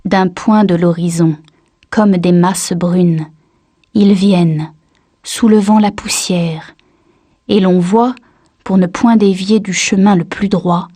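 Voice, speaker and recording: A woman reciting a literary poem in a measured, solemn manner. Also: lowish voice, mellow, breathy edge, no room sound. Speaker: poetry reader.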